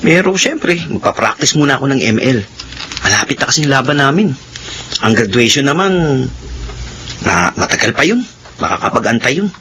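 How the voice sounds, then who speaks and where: An actor with a Filipino accent playing an evasive teenage high school slacker in a radio drama, whiny and casual. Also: male voice, big pitch swings, normal speed, long pauses, bright, forceful tone. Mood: sly, carefree. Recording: radio recording with faint background noise.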